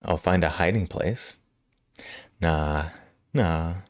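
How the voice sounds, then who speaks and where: A male livestream host chatting casually with viewers, slightly hoarse from a cold, relaxed and quick to laugh. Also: sits low, no room sound, studio quality. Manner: relaxed, laughs often, answers chat. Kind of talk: casual chat with live chat. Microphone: studio microphone.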